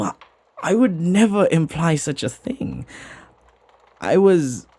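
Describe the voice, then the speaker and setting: A man playing a flirty, devoted butler in a romantic ASMR roleplay, speaking softly and tenderly with teasing and hesitant pauses. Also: fairly low pitch, no echo, exaggerated voice. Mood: flustered, earnest. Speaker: voice actor.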